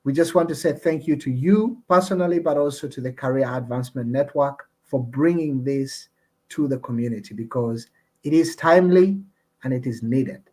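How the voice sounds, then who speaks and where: A man hosting an online discussion over a video call, speaking in an earnest, appreciative and measured way. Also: medium pitch, a little mellow. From Uganda. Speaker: host and community representative.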